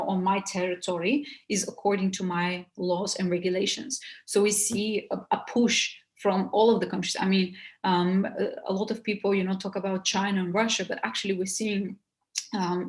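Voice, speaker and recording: Hesitant woman presenter. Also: medium pitch. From Bulgaria. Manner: hesitant, halting delivery with many pauses. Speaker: seminar presenter. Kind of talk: informal slide presentation.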